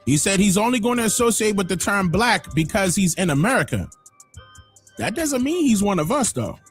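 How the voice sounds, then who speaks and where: A man hosting a livestream, giving emphatic opinionated commentary that swings from loud exclamations to low muttering. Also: big pitch swings, normal speed, soft background music. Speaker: livestream host.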